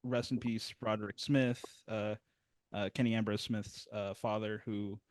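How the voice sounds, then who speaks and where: A man's voice: on the low side, flat delivery, unhurried, darkish tone, dry recording. Male music review host, casual chat, rambling and self-deprecating, dry close mic.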